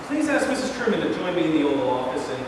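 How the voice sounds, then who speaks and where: A man's voice: fairly high pitch, big pitch swings, rapid-fire. A man reading the part of a resolute, plain-spoken president in a staged play, recorded from afar in an echoey room. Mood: brisk, businesslike. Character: resolute, plain-spoken male American president defending his decision. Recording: very echoey hall, far mic, noisy.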